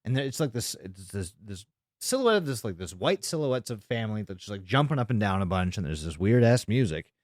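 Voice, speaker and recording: Animated man recounting on a podcast. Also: lowish voice, a little fast, dry recording, clean studio sound. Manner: sweary, informal. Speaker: podcast host. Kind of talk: casual podcast chat, recounting a video.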